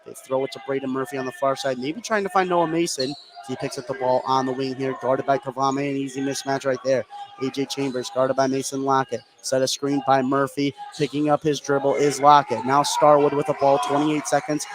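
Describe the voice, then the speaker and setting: An American man doing live play-by-play commentary on a basketball game. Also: medium pitch. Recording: clear gym noise behind voice. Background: sports venue ambience.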